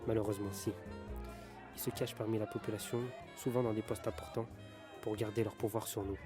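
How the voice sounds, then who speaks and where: An actor playing an earnest, insistent conspiracy theorist stranger in a bar, in an audio drama. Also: male voice, fairly low pitch, little pitch movement, a little fast, lightly acted. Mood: grave, convinced. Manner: earnest, urgent explaining. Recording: music and bar chatter behind.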